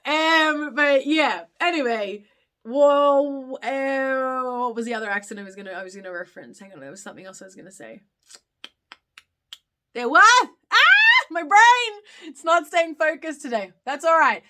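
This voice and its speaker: A woman's voice: high voice, very animated, moderate pace, pushed and bright. A young woman with an Australian accent with slight British touches, hosting a casual live question-and-answer chat with many ums and self-corrections. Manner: chatty, rambling, frequent ums and self-corrections. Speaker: live stream host.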